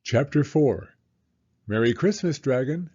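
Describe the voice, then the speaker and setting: A man reading a storybook aloud to young children in a deliberate, expressive storytelling way. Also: mid-range voice, breathy edge, muffled recording.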